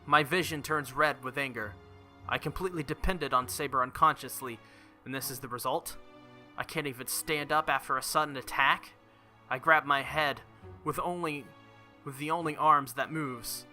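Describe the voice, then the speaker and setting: A man's voice: medium pitch, fairly even intonation, normal speed, bright, forceful tone, faint music behind. A man hosting a let's play, reading visual novel text aloud in a dramatic narrating style. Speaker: let's play video host.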